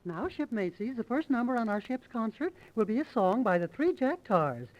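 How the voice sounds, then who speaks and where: A man's voice: very high voice, very animated, a little fast. A man playing a gushing, self-satisfied society matron in 1930s radio comedy, lecturing in a cutesy, pompous way. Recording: muffled 1930s radio recording.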